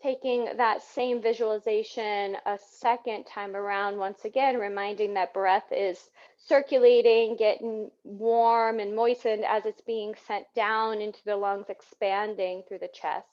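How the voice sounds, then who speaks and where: A woman teaching yoga, guiding breathing in a soothing, gentle manner with unhurried phrasing. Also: mid-range voice. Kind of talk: guided yoga breathing instruction. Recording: dull, muffled lo-fi sound.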